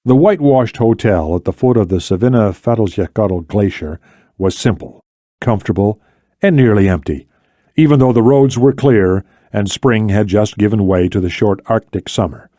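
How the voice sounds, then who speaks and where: A man reading a thriller novel as scripted audiobook narration, in a measured, deliberate way with dramatic pauses. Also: fairly low pitch, animated, dark tone, no echo, clean studio sound.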